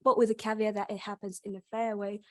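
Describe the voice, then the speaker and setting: A woman's voice: mid-range voice, level tone, quick pace, no room sound. A young woman with a Yorkshire accent giving an academic conference presentation, explaining step by step with frequent ums and restarts.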